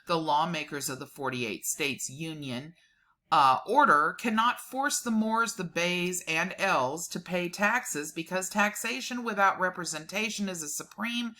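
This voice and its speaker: Female host, deep register, crisp bright tone, dramatic document reading broken by informal commentary. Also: unhurried.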